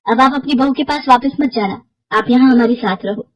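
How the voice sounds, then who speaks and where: A woman's voice: a little high, normal speed, lightly acted, rough recording. A woman voicing a kind, caring young village henna artist in a cartoon story, earnest and reassuring. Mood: concerned.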